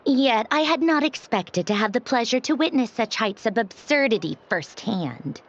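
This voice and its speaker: A woman's voice: a little high, expressive, normal speed, slight background noise, close to an everyday voice. A woman voicing a condescending villainess in a video game, theatrical and formal, with a mocking haughtiness. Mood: amused disdain. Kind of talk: video game character dialogue. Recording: dull game stream audio under music.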